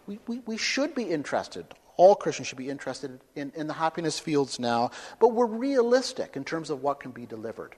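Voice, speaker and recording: A man's voice: a little high, very animated, fairly quick, hardly any pauses. Emphatic male lecturer in a reverberant hall. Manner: emphatic, forceful, builds to loud peaks. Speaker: speaker at a talk. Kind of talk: public lecture with questions.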